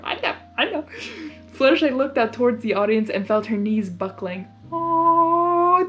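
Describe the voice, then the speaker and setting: A woman reading fan fiction aloud as a host, gushing and giggly, breaking in with excited asides. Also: a little high.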